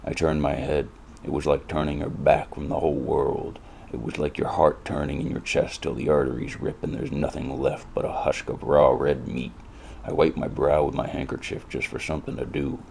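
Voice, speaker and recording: An actor playing a world-weary, wisecracking hardboiled private eye in a noir radio drama, with a laconic, deadpan and brooding delivery. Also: male voice, normal speed, slight background noise, full character voice. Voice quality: very deep, gravelly. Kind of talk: noir radio drama with first-person narration.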